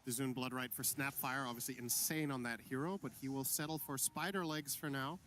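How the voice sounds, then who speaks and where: A man's voice: medium pitch, steady tone, brisk, a bit bright, a little hiss or hum. A man giving analytical color commentary on an esports match, explaining item choices.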